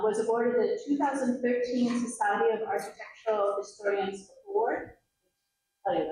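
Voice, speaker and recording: A woman's voice: on the high side, a little mellow, a little hiss or hum. Woman, host, speaker introduction, informal asides, distant from mic, very echoey hall. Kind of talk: formal introduction. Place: large lecture hall.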